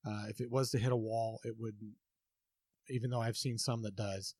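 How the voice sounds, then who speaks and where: A man's voice: medium pitch, steady tone, moderate pace, a little mellow, no echo. A man co-hosting a casual podcast, explaining things in an easygoing way with many ums and uhs. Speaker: podcast co-host.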